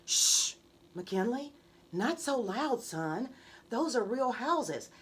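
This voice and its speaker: A woman's voice: on the high side, very animated, slow pace, a bit bright, slightly rough, heavily acted. Reader doing a strict mom in a kids storybook, woman, firm instructions, very up and down. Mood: hushing, explaining. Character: firm, no-nonsense mother of two young children. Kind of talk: children's storybook read-aloud.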